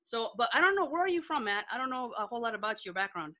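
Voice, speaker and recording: A woman's voice: on the high side, big pitch swings, fast talker, muffled recording. A middle-aged woman from Chicago hosting a casual video-call interview from home, chatty and gushing, swearing freely. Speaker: host. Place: bedroom at home. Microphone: laptop webcam video call.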